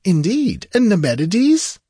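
A man's voice: a little high, expressive, normal speed, husky, no echo, studio quality, pitched above the performer's own voice. A man voicing an aristocratic, wry sorcerer in a fantasy audiobook, with courtly, archaic and dramatic phrasing. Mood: courteous, curious. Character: tall aristocratic sorcerer freed from long torment, eloquent, wry.

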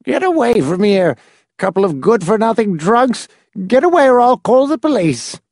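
A man's voice: high voice, big pitch swings, a little slow, vocal fry, a little mellow, no echo, clean studio sound, pitched above the performer's own voice, exaggerated voice. Man doing a thin, quavering old man. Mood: shrill warning. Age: older. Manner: fretful, snappish. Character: frail tiny old male caretaker, suspicious and cranky.

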